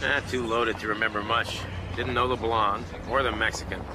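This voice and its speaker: An actor playing a brusque, slangy police detective giving a clipped report in a radio drama. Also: male voice, lowish voice, steady tone, normal speed, natural voice. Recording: clear urban ambience and vehicle noise.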